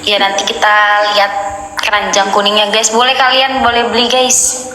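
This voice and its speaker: A friendly woman in her twenties chats with her viewers on a live stream. Her bright voice carries a long, roomy echo with a little background noise. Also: medium pitch, monotone, normal speed. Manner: friendly, addresses viewers as guys. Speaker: livestream host. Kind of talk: casual livestream chat. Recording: echoey roomy stream audio.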